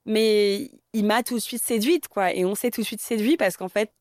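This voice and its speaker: A woman's voice: mid-range voice, normal speed, darkish tone, no echo, clean studio sound. A woman in her thirties from Paris, speaking candidly in a conversational way as an interview guest. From Paris, France. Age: 30s. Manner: candid, conversational, many fillers.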